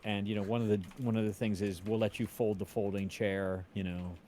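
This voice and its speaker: A man's voice: on the low side, flat delivery, fairly quick, mellow, a little hiss or hum. A middle-aged man chatting casually on a group stream, thinking aloud as he tries to recall things. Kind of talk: casual chat.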